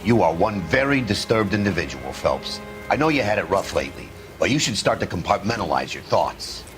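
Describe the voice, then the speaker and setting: An actor playing a blunt, grumbling middle-aged American detective in a 1940s crime video game. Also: male voice, sits low, very animated, a little fast, over music. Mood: gruff, disapproving.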